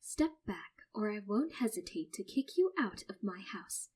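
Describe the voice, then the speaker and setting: A woman's voice: mid-range voice, very animated, measured pace, a little mellow, husky. A woman voicing a stern, cold mother who speaks through gritted teeth.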